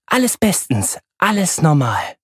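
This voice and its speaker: A male reader acts out a superhero mother in a children's audiobook, raised in pitch and dry in sound. She explains things in a composed, placating way. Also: huge pitch swings, a little slow, breathy edge, no room sound, full character voice. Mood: forced reassurance.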